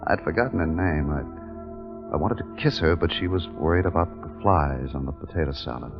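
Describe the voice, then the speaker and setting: An actor playing a measured, authoritative army captain who narrates his wartime mission in a 1950s radio drama. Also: very deep voice. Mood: wistful, dreamy. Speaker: narrator and commanding officer. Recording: dull 1950s radio recording with music.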